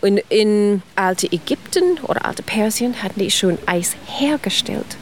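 A woman's voice: mid-range voice, lively intonation, unhurried, slight background noise. Eager woman explaining at length. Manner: eager, explains at length with loose grammar and restarts. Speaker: guest expert on ice cream history. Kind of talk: interview explanation.

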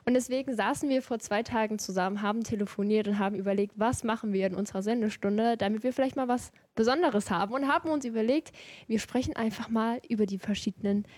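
A woman's voice: on the high side, fast talker, darkish tone, no room sound. A young woman hosting a youth radio show, chatting casually and teasing her co-host. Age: young adult.

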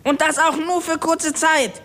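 A woman's voice: sits high, steady tone, unhurried, a bit bright, a little hiss or hum. A woman voicing a grumpy little male ship's kobold in an audio story, scolding and emphatic like an exasperated know-it-all. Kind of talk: acted German audio story.

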